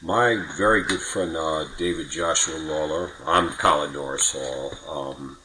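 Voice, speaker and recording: A middle-aged man chatting casually as a podcast guest, with halting, slightly formal phrasing. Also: rumbling low, fairly even intonation, sharp tone, slight background noise, low-quality recording. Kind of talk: casual reminiscing chat.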